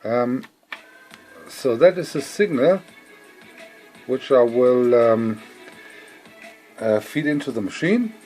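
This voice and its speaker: A man's voice: medium pitch, animated, takes it slowly, long pauses, a little mellow, soft background music, low-quality recording. A man giving an informal, unscripted demonstration as a hobbyist, speaking hesitantly and repeating phrases for emphasis. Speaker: hobbyist presenter demonstrating vintage audio gear.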